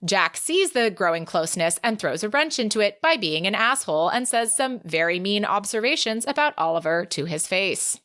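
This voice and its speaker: A woman's voice: medium pitch, animated, normal speed, hardly any pauses, a bit bright. A woman co-hosting a podcast, giving a casual book recap in a chatty, playful, exclamatory way. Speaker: podcast co-host.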